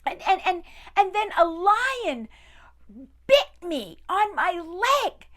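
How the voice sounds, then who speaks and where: A woman's voice: very high voice, very animated, takes it slowly, fairly bright tone, voice pushed up, exaggerated voice. A woman voicing a panicked young male robber who stammers out his frightened report. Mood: frantic. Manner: stammering, frantic.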